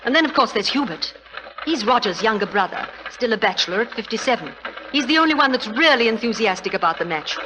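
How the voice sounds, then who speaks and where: An actress playing a frank, wealthy middle-aged society widow, imperious and chatty, in 1940s radio drama style. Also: female voice, on the high side, lively intonation, quick pace, natural voice. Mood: candid, assured. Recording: noisy old 1940s radio recording.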